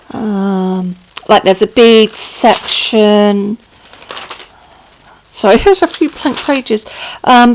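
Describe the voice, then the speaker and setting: A woman with a slightly croaky voice, chatting casually at home as she shows her craft book, with lots of ums and self-corrections. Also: mid-range voice, slight background noise, low-quality recording. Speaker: crafting vlogger. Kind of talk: casual show-and-tell. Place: indoors at home, downstairs near a patio.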